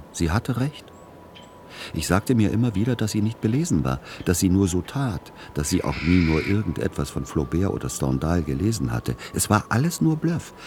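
Tired middle-aged writer guy, super low voice, resigned radio play narration, slight noise behind. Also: a little mellow. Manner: resigned, introspective.

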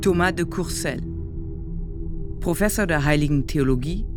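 An actor playing a formal court recorder, reading out records in clipped, factual radio drama narration. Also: male voice, fairly high pitch, normal speed, over loud music, lightly acted. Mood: official, factual. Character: formal male court recorder announcing witnesses. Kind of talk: scripted documentary narration.

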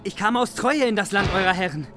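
An actor playing a maimed minstrel in a radio play, plaintive, bewildered and in pain. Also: male voice, high-pitched, lively intonation, a little fast. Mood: agonised, pleading. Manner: pained, plaintive. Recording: music behind the voice.

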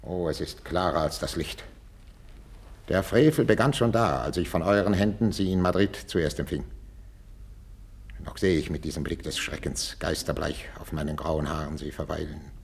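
Tormented aging king: low gravelly husky voice, imperious, suspicious, classical radio drama declamation. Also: normal speed, exaggerated voice. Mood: outraged. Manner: declamatory, swinging between whispers and outcries. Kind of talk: 1950s radio drama acting, classical stage German. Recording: old 1950s radio recording.

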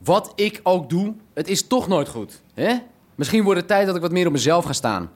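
A man's voice: sits high, sing-song, moderate pace. An actor playing a frustrated young man who resents taking orders from his father. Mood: resentful. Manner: resentful, rebellious. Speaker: son of the boss. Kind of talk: radio drama acting.

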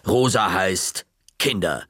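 A man voicing a huge, grim old woman librarian, speaking slowly and curtly in a grating voice. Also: low voice, bright, forceful tone, breathy edge, no echo, studio quality, full character voice. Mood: gruff. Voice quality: grating, rusty voice. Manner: slow, grumbling, curt.

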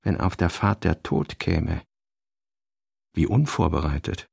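An actor playing a brooding novelist narrator in a literary radio play, reciting narration and fragmentary notes in a measured, deliberate way. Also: male voice, sits low, gravelly, a little mellow, slightly rough, dry recording, clean studio sound, exaggerated voice. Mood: reflective.

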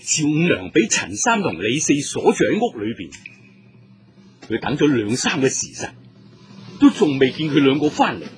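A man's voice: mid-range voice, big pitch swings, moderate pace, over music. A man narrating a story in Cantonese as a storyteller, with dramatic, suspenseful delivery.

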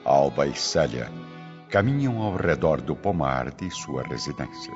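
Very deep-voiced male radio drama narrator. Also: very animated, a little slow. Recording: muffled lo-fi recording under loud music.

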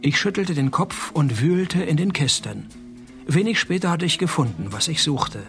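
A man's voice: on the high side, normal speed, gravelly, soft background music. An actor playing a wry, laconic male private detective who narrates his case and trades dry lines in a radio play. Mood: sardonic, confident. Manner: laconic, sardonic, deadpan.

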